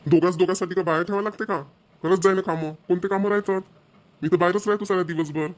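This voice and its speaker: A man's voice: high-pitched, fairly even intonation, fast, lightly acted. A man playing an exasperated, thrifty young husband in a cartoon, complaining and scolding with a Vidarbha Marathi accent. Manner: nagging, complaining. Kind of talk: amateur cartoon voice acting.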